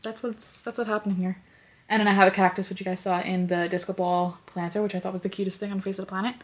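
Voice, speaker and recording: A woman's voice: medium pitch, little pitch movement, quick pace. A young woman with a stuffy, congested nose, chatting casually in her bedroom and rambling with lots of fillers. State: congested, sniffly. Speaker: lifestyle vlogger. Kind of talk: casual vlog chat. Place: bedroom at home.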